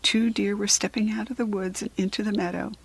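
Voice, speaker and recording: An American woman reading a children's book aloud in an expressive, instructive storytelling style. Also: medium pitch, a little fast, breathy edge.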